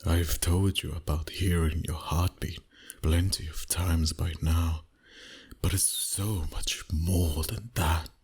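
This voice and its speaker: A very low, heavily breathy male vampire, acted out by a man for an ASMR roleplay. He speaks slowly and intimately to one listener, often sinking toward a whisper. The manner is dominant, flirtatious and teasing. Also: fairly even intonation, darkish tone, exaggerated voice. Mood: calm, intimate. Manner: slow, deliberate, flirtatious.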